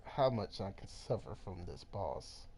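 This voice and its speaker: A man live streaming a game with casual, informal commentary, muttering to himself between louder reactions. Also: low-pitched, big pitch swings, measured pace, creaky, background noise.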